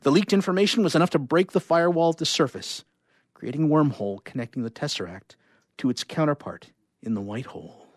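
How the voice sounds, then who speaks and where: A man performing a live reading of short stories, with expressive delivery and casual asides. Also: on the high side, a little fast, dry recording, studio quality.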